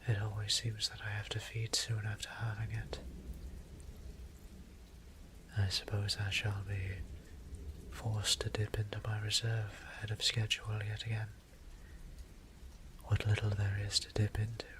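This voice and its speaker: A man playing a weary, courteous immortal vampire priest in an audio drama, speaking formal, old-fashioned English in a breathy, gravelly near-whisper. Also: on the low side, slight background noise, poor audio. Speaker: voice actor. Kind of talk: scripted audio drama monologue.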